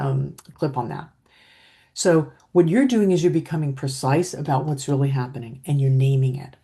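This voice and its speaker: A middle-aged woman with a low voice, coaching on communication in a slow, steady, reflective way, often checking in with "right?". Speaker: emotional intelligence coach. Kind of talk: calm teaching talk to camera.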